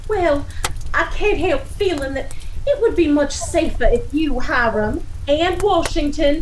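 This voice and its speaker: A woman's voice: high-pitched, animated, unhurried, slight background noise, rough recording. An actress playing an anxious wife and mother in a radio play, fussing and worried. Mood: fretful.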